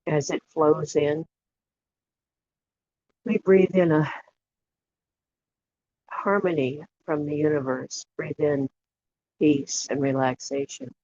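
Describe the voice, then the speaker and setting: A guided imagery facilitator leading a relaxation meditation in a soothing, unhurried way, leaving long pauses between short phrases. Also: medium pitch, steady tone, warm tone. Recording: dull lo-fi audio with clear background noise and clicks.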